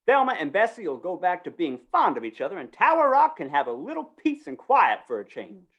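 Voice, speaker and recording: A woman's voice: lowish voice, big pitch swings, fairly quick, breathy edge, highs cut off. Woman as a swooping, wheedling small-town schemer. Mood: coaxing. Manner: coaxing, folksy, falsely reassuring. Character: wheedling, slippery male small-town fair organizer, fast talker. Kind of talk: old-time western radio drama acting.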